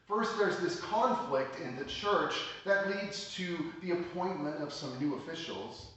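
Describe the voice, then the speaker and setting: A man pastor preaching a sermon, explaining scripture in a measured, plain teaching tone. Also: high-pitched, a bit bright. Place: church sanctuary. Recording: echoey church hall, mic at a distance.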